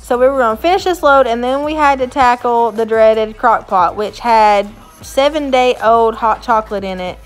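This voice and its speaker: A woman's voice: a little high, normal speed, few pauses. Chatty Southern woman with music behind. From Smoky Mountains area, USA. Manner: chatty, self-mocking, laughs often. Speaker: vlogger. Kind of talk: casual voice-over chat.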